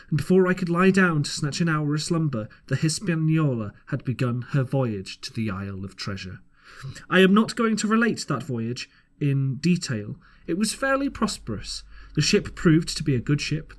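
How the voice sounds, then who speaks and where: A man narrating an old adventure story as an amateur audiobook reader, sometimes stumbling and rereading a phrase. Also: a little high.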